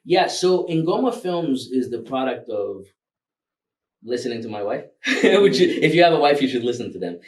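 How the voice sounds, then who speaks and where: A man's voice: medium pitch, very animated, normal speed, recorded from a distance. A man from Rwanda, Kinyarwanda his first language, talking loosely as a filmmaker guest with many fillers and laughs. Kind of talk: casual interview.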